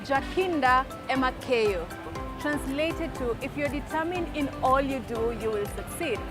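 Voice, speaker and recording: High-voiced woman presenter. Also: animated. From Kenya. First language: Luo. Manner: clear and measured, explaining proverbs. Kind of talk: video presenter. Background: music.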